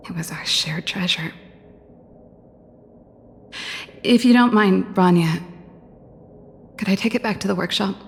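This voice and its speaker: An actress voicing a wistful, guarded woman inventor in a video game, speaking casually and haltingly. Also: mid-range voice, gravelly, husky. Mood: shaken, subdued. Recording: loud music over the voice. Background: music.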